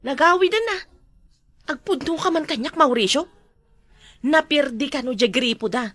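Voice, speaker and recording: An actress with an Ilocano accent playing a defensive, bickering village wife in a comedy drama. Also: female voice, sits high, lively intonation, moderate pace, fairly bright tone. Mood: irritated, bickering. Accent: Ilocano, northern Philippines.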